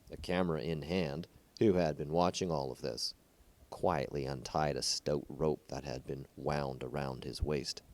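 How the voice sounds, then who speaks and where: A man's voice: deep voice, darkish tone, dry recording. A man narrating a short story from a script as an amateur reader.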